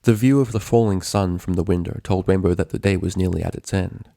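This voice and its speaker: Low, gravelly male story narrator. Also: fairly even intonation, brisk, mellow, dry recording, studio quality. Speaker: fan fiction narrator.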